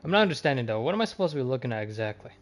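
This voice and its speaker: A man livestreaming a game with casual, halting commentary as he thinks aloud. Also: medium pitch, very animated, faint music behind.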